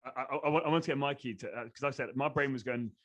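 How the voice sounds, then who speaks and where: A man's voice: mid-range voice, racing, fairly bright tone. A man hosting a podcast, speaking with enthusiasm and swearing freely. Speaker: podcast host. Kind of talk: podcast conversation.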